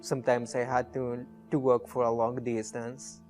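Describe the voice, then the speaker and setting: A young man with a Guatemalan accent, speaking a second language haltingly and simply as he tells his own story. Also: medium pitch, little pitch movement, darkish tone. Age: young adult. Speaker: scholarship honoree. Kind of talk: personal story in a documentary video. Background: guitar music.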